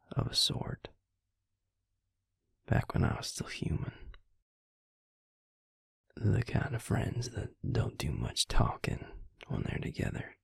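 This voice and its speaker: Intimate audio roleplay: male actor as protective, regretful vampire man, hushed whisper, heavy rasping fry, halting confessional delivery. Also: lowish voice, dry recording, studio quality, heavily acted. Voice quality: breathy, gravelly whisper. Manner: slow, halting, confiding.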